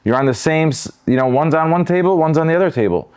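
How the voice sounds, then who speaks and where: A man's voice: a little high, lively intonation, quick pace, a little mellow, smooth. A man from Detroit with an American accent, talking conversationally as a podcast host. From Detroit, United States. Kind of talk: casual conversational discussion.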